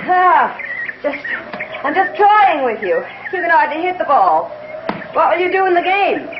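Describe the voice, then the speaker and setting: Swooping, teasing young heiress. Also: female voice, extremely high pitch, big pitch swings, measured pace, full character voice. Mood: playfully mocking. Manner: breezy, teasing, theatrical. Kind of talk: old-time radio drama acting. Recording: muffled, clearly noisy 1970s radio broadcast.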